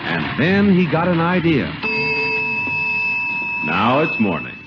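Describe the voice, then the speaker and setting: A man's voice: on the high side, wildly swooping pitch, slow. A man announcing and narrating a 1950s radio show in scripted style. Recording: muffled old radio recording, loud music.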